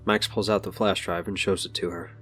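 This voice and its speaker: A man's voice: low-pitched, little pitch movement, fairly quick, a bit bright, faint music behind. A man narrating a scripted superhero audio drama. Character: narrator of a superhero adventure story.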